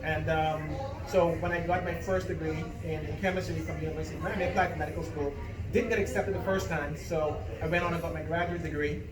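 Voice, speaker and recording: A man's voice: a little high, fairly even intonation, quick pace. A middle-aged man from the Bahamas, a political candidate, speaking earnestly at a neighborhood meet and greet and laughing easily in banter. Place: gathering in a room with people around. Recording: echoey room, mic far off, crowd noise.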